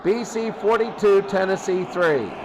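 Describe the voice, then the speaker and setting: Clear background noise surrounds a man calling a football game. His voice is high, and he follows the action in short, clipped play-by-play calls. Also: unhurried. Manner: clipped, present-tense calls. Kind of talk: live sports commentary. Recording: loud noise behind the voice.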